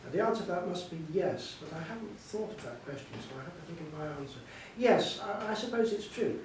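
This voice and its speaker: A man's voice: animated, brisk. An older man speaking as an author in a literary discussion before an audience, in an emphatic, discursive way. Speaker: author being interviewed. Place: echoey hall at a live book event. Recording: very echoey, distant mic, faint rumbling noise.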